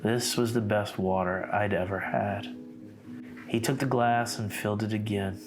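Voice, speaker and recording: A man performs a somber investigator in an analog horror drama, recounting his time inside a cult. His voice sits on the low side and stays measured and guarded. Clear music plays behind the narration. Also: steady tone, natural voice, rough recording. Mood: uneasy wonder. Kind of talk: scripted horror narration, diary-like.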